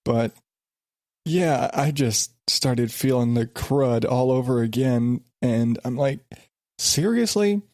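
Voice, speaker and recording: A man's voice: medium pitch, takes it slowly, a little mellow, no room sound. Stuffed up guy talking on his podcast, rambly and fed up, dry close sound. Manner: rambling, exasperated, many restarts. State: stuffed up with a sinus infection. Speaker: podcast host. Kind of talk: casual solo podcast.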